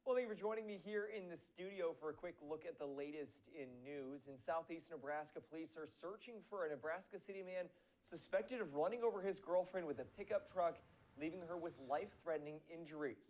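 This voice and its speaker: A man's voice: a little high, fairly quick, darkish tone, low-quality recording. A male news anchor reading scripted headlines in a TV studio.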